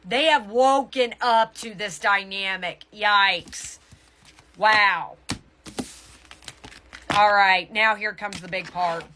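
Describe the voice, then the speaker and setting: A woman's voice: mid-range voice, background noise. A woman giving a casual tarot reading to viewers, speaking emphatically and often stopping to correct herself mid-thought. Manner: emphatic, halting and self-correcting. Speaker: tarot reader.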